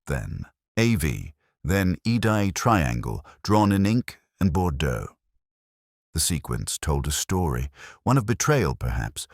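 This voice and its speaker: A man narrating a scripted first-person detective story in a measured, literary manner. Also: a bass voice, no echo, clean studio sound.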